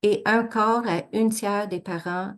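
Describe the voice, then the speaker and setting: A woman researcher giving an academic presentation, talking through slides in a measured way with frequent hesitations and self-corrections. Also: medium pitch, fairly even intonation. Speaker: researcher presenting study results.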